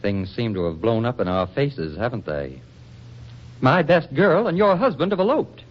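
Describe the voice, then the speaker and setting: A man's voice: medium pitch, sing-song, normal speed. A man acting in a 1940s radio comedy as a wry, teasing newspaperman bachelor with a dry, bantering, theatrical delivery. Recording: dull vintage 1940s radio recording.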